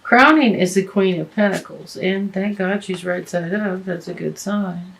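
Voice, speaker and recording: Tarot reader, woman, slow and halting, voice going a bit hoarse, lowish pitch. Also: fairly bright tone, poor audio. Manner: halting, many fillers and restarts. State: losing her voice. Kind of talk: tarot reading monologue.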